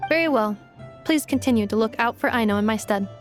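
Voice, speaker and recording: A woman plays a calm robot guardian from a video game. Her voice is slightly high, measured and literal. She delivers everything formally and deadpan, with faint music behind her. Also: close to an everyday voice. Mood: flat, composed. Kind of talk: video game character acting.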